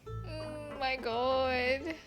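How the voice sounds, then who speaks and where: A woman's voice: high voice, fairly even intonation, very slow. A woman streamer giving casual reactions while playing through a video game. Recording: game music behind voice.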